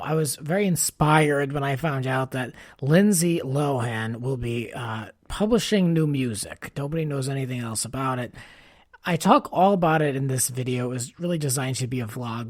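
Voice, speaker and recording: A man's voice: mid-range voice, no echo, clean studio sound. A man hosting a podcast in a casual, informal and encouraging way. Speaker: podcast host.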